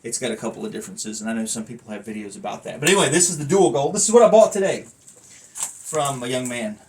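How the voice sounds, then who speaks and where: A man's voice: mid-range voice, expressive, quick pace, darkish tone. A man giving a casual, unscripted collector's review, thinking aloud and wandering off into asides. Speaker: collector reviewing a wrestling belt.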